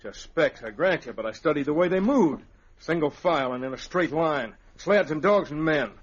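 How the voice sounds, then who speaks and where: Higher, husky outlaw lookout. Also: male voice, expressive, normal speed. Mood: urgent, insistent. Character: insistent male outlaw lookout reporting trouble. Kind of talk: old-time radio adventure acting. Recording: muffled vintage radio recording.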